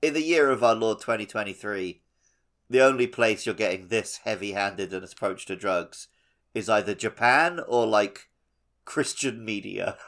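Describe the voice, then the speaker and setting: A man's voice: fairly low pitch, normal speed, a bit bright. A man co-hosting a casual gaming podcast, chatting in a wry, opinionated way. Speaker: podcast co-host.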